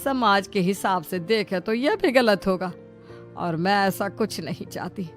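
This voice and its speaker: A woman's voice: medium pitch, measured pace, soft background music, lightly acted. A woman voicing a bossy middle-aged mother-in-law in a dramatic, emphatic cartoon style. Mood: fond, worried. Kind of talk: Hindi cartoon story acting.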